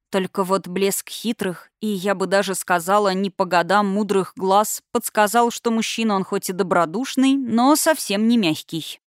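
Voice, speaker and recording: A woman reading a fantasy novel as an audiobook narrator, in an expressive scripted storytelling style. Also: mid-range voice, no room sound, studio quality.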